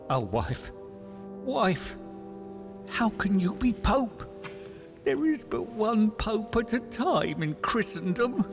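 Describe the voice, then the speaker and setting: A man's voice: sits high, sing-song, drawn-out words, long pauses, music in the background, full character voice. An actor playing a meek, reluctant, henpecked fisherman in a fairy tale audio drama, hesitant and pleading. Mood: weary, resigned.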